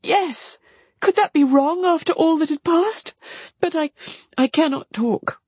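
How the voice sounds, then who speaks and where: A woman's voice: high-pitched, a little slow, a little mellow, dry recording, pitched above the performer's own voice. A woman voicing a passionate, despairing young sister in an anguished, exclamatory way, as in a classic novel reading. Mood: distressed.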